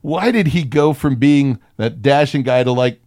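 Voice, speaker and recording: A man's voice: medium pitch, a little slow, darkish tone, dry recording, clean studio sound. A man in casual comedic chat as a podcast co-host, riffing irreverently and swearing freely.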